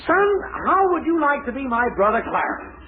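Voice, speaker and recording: An actor playing a blustery, scheming middle-aged storekeeper who cajoles breezily in a 1940s radio comedy. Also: male voice, very high voice, big pitch swings, moderate pace. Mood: cajoling. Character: blustery, scheming older male storekeeper, breezily self-serving. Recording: narrow-band 1940s radio with audience noise.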